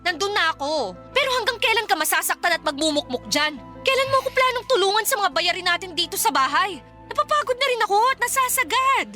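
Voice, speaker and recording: Fed up practical girlfriend, high sharp voice, nagging radio drama style. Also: very animated, quick pace, faint music behind, heavily acted. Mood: exasperated. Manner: nagging, reproachful. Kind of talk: radio drama acting in Filipino love story.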